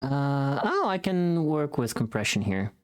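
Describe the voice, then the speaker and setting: Female streamer, deep-voiced and leisurely, for playful, casual, teasing live chat. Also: very animated, measured pace, darkish tone, clear, resonant tone. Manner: swears casually.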